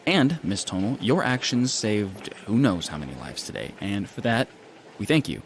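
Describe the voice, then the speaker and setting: Officious administrator, lively intonation, mid pitch, faint room noise. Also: male voice. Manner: officious, hurried, awkward. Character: male hospital administrator, bureaucratic. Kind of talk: audio drama acting.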